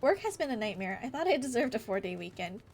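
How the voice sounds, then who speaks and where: American woman, high voice, casual live stream chat, animated, gossipy, faint music underneath. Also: quick pace. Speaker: game streamer. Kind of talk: live stream chat while reading game dialogue aloud.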